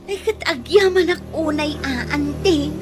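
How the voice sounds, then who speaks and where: An actress playing an excitable, easily startled younger woman in an Ilocano radio comedy drama. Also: sits high, slow, over music. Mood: grateful.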